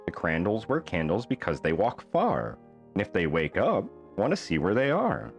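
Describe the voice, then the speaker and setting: A man reading a rhyming children's bedtime book aloud as an expressive storyteller. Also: on the low side, normal speed, soft background music.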